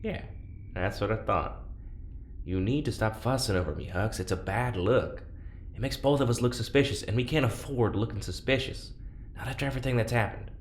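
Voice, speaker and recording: An actor playing a wary, sardonic prisoner and test subject in an audio drama, blunt and sarcastic. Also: male voice, mid-range voice, fairly quick, lightly acted. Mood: exasperated. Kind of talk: naturalistic audio drama acting. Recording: roomy, echoing, faint background noise.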